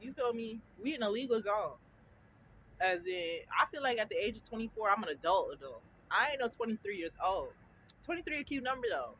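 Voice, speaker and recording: A young woman in her twenties talks off the cuff outside at a bus stop, her pitch swooping up and down as she checks in with the listener and keeps bursting into laughter. Heavy street and vehicle noise runs under her voice. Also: very animated, a little fast, bright, forceful tone, rough recording. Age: 20s. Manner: chatty, keeps asking "you feel me", breaks into laughter. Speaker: vlogger. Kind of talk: casual chat to camera. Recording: outdoor street traffic noise.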